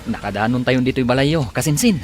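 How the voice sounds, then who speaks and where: A man's voice: mid-range voice, lively intonation, a little fast, slight background noise, close to an everyday voice. A man acting an easygoing, coaxing young suitor in an Ilocano radio drama, with an Ilocano accent. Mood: teasing. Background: music.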